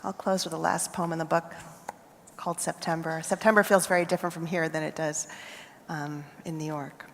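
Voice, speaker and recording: An American woman poet reading her poems aloud at a festival, with measured, deliberate phrasing and pauses between lines. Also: deep voice, little pitch movement, creaky, a little hiss or hum. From United States. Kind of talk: poetry reading. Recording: reverberant hall.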